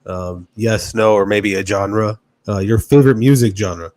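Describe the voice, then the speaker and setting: Young dude hosting a podcast, calls everyone bro, lowish voice, dry recording. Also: male voice, a little slow, darkish tone, dry recording. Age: 20s. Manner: casual, friendly slang, says bro a lot. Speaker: podcast host. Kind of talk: casual interview.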